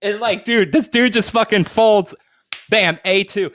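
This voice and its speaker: A man's voice: high-pitched, unhurried, fairly bright tone. A man co-hosting a podcast, bantering casually in slangy, sweary talk. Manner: profane, slangy. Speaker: podcast co-host. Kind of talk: casual chat with comedic banter.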